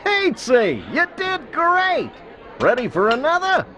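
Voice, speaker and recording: A man playing a flamboyant, excitable bug restaurant host with theatrical delivery in a children's computer game. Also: very high-pitched, slow, a faint crowd, exaggerated voice. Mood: cheerful, encouraging.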